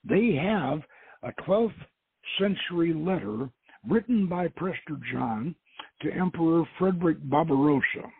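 A man with a gravelly, raspy voice hosting a radio show, reading aloud and adding halting, deliberate asides with dramatic flourishes. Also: mid-range voice. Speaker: radio show host and author. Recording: tinny phone-like line with echo.

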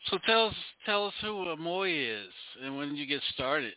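A man's voice: on the high side, normal speed, no room sound, phone-quality sound. Easygoing man hosting a radio show, phone-thin sound. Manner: easygoing, chatty, flattering. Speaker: radio DJ and host.

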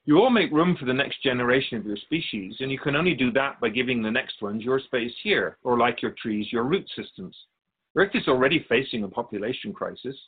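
A man playing a wise otherworldly keeper of souls in a radio drama, speaking in a measured, philosophical, instructive way. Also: medium pitch, animated, breathy edge, narrow, tinny audio. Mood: gently probing. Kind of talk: radio drama dialogue in interview form.

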